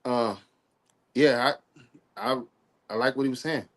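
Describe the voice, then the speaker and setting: A man in his forties, a bit sick and nasal, talking in a relaxed, slangy way on a live stream chat. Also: mid-range voice, measured pace. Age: 40s. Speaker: guest on a live stream talk. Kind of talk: casual chat.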